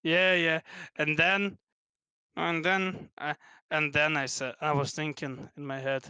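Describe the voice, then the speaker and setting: Young Russian-accented male guest, a touch high, halting casual speech. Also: level tone, sharp tone, smooth, no room sound, dull audio. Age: 20s. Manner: halting, many restarts and fillers. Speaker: guest, pro gamer. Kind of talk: casual interview chat.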